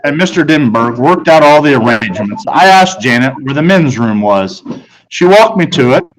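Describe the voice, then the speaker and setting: A man's voice: mid-range voice, animated, normal speed, rough recording. A man reading a children's novel aloud as a teacher, narrating the story.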